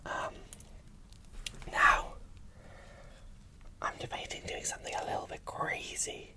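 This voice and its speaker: A host speaking in a breathy whisper into a close microphone, giving casual, enthusiastic ASMR unboxing commentary. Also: takes it slowly.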